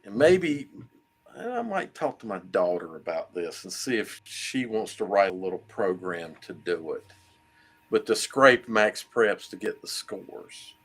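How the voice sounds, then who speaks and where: A man co-hosting a casual sports talk show, speaking in a thoughtful way that trails off quietly. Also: on the low side, sing-song, unhurried, slightly rough. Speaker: co-host.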